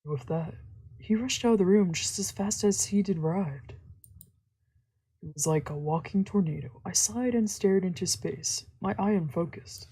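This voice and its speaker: A woman's voice: medium pitch, a little slow, warm tone, slightly rough, rough recording. A woman streamer reading a visual novel aloud as narration, with casual reactions of her own. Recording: faint background music.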